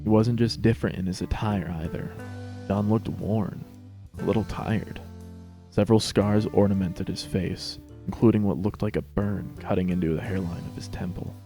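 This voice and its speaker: A man's voice: on the low side, fairly even intonation, normal speed, warm tone, faint music behind. A man narrating a scripted story as a dramatic reading.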